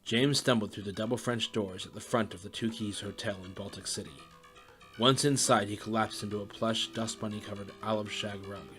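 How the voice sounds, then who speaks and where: Low-voiced man narrating over music. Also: fairly even intonation, fairly quick, a bit bright. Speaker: audio drama narrator. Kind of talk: scripted story narration.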